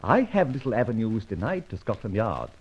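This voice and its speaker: An actor playing a suave, self-assured gentleman detective with a dry, teasing manner in an old radio drama. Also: fairly low pitch, sing-song, brisk, slightly rough. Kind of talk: 1940s radio acting. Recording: muffled old radio recording.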